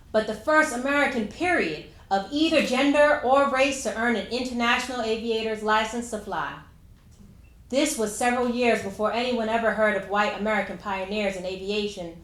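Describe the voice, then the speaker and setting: A woman's voice: on the high side, a bit bright, lightly acted. Woman playing a determined 1920s aviatrix, echoey. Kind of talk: first-person historical monologue, read aloud. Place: indoor room at a live reading event. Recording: echoey room, live stage reading.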